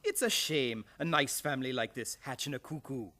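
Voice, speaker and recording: A man's voice: mid-range voice, moderate pace, pushed and bright, close to an everyday voice. An actor playing an amiable, plain-spoken neighborhood policeman in an old-time radio comedy. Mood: good-natured. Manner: plain-spoken, good-natured.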